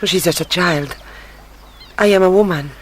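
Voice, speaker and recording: A woman's voice: lowish voice, moderate pace, a little hiss or hum, lightly acted. An actress playing a guarded, proud young South American country woman in a radio drama, speaking in a reserved, direct way. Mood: wistful, challenging.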